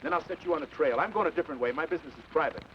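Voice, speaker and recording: An actor playing a guarded western outlaw who speaks in short, clipped lines. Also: male voice, a little high, racing, close to an everyday voice. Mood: curt. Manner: clipped, laconic. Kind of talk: 1950s western film dialogue. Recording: dull old film soundtrack with hiss and score.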